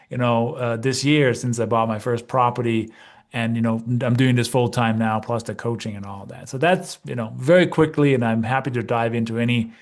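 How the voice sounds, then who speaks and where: A man in his fifties, originally from Denmark, chatting easily as an interview guest and peppering his talk with "you know" and "right?". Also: mid-range voice. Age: 50s. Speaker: guest, real estate investor and coach. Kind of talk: casual interview conversation.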